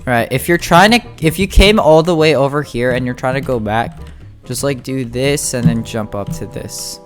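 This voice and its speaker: A game guide host talks viewers through play in a medium-pitched voice. The delivery is informal and halting, like someone thinking aloud while playing. Music runs behind the commentary. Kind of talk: casual gameplay tutorial commentary.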